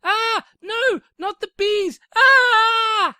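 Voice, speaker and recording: Casting: woman as indignant cartoon pony princess, shrill and bright, exclamatory, dry close recording. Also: very slow, dry recording, heavily acted. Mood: screaming panic. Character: indignant female purple pony princess, easily exasperated. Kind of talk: cartoon fan-fiction voice acting.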